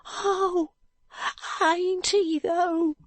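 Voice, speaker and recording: A woman voicing a frightened, pitiful ragged street boy with a high, cracked, tearful voice and slurred street speech. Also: steady tone, extremely slow, no echo, heavily acted.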